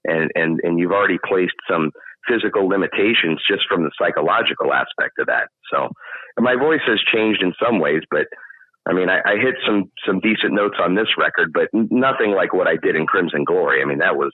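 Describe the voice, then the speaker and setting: A middle-aged man with a deep baritone speaking voice, chatting in an interview and explaining things at length with many restarts. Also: animated, brisk, husky, no echo, phone-quality sound. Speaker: guest singer. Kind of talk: casual interview chat.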